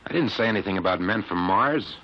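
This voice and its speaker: An actor in an old-time radio drama playing a shaken, earnest young test pilot, halting and insistent. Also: male voice, on the low side, expressive. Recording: muffled old radio broadcast recording.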